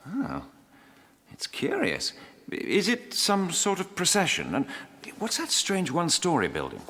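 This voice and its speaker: An actor playing a courteous, slightly fussy 1920s gentleman scholar with an upper-class English accent in a radio drama. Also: fairly high pitch, huge pitch swings, measured pace, husky, exaggerated voice. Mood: curious, flustered. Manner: polite, slightly fussy, donnish. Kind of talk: radio drama acting, letter narration.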